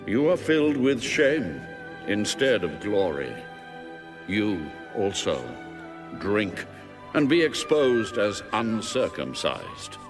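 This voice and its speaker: A man giving a solemn, dramatic scripture reading in a measured, weighty, declamatory manner. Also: lowish voice, huge pitch swings. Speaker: audio Bible reader. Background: music under the reading.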